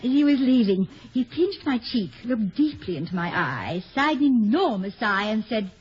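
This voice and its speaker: An actress playing a playful, affectionate, witty wife of a college president in a 1950s radio comedy, with a teasing manner. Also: female voice, on the high side, sing-song, normal speed, husky, heavily acted. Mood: gleeful. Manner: teasing, lighthearted. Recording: dull, hissy vintage radio recording, faint audience.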